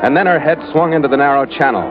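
A man's voice: a little high, rapid-fire, background noise, natural voice. An American man acting a tough, weathered ship's skipper in a 1940s radio drama, narrating his log in a hard-boiled, clipped way. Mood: measured, vivid. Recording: tinny old radio recording, music behind.

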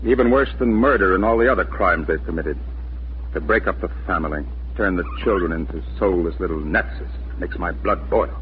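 An actor playing an earnest, protective middle-aged father in a measured, emphatic 1940s radio drama style. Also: deep voice, slight background noise, close to an everyday voice. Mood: indignant. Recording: muffled 1940s radio recording, music behind.

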